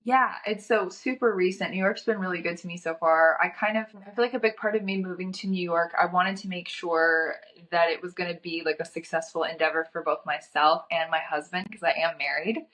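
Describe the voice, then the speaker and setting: A young woman from North Carolina, a guest chatting casually, with a slightly scratchy, raspy throat from a cold and a chatty way of talking full of "like". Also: fairly low pitch, fairly even intonation, fairly quick, hardly any pauses, a bit bright. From North Carolina, USA. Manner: chatty, frequent "like" filler. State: getting over a cold. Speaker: fashion model guest. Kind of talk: casual chat.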